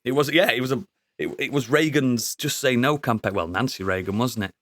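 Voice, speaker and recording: A man co-hosting a podcast, chatting casually about a TV show, sweary and excitable, laughing often. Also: medium pitch, a little fast. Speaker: podcast co-host. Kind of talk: casual review chat.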